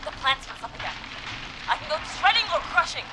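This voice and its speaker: A scared, pleading caller in a video game. Her voice is very high and jumps about urgently, half buried under loud noise. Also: sing-song, fast talker. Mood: frightened, urgent. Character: frightened, desperate woman working through a noisy plant.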